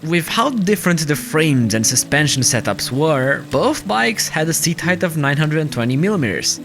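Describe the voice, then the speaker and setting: A man's voice: a little high, very animated, moderate pace. A man reading scripted narration as a documentary-style narrator. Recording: music bed under the narration. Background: clear background music.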